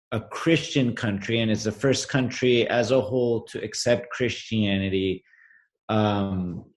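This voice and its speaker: A man's voice: medium pitch, darkish tone. A man from Los Angeles talking in an earnest, reflective way about nature and spirituality in a casual conversation. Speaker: guest, brand co-founder.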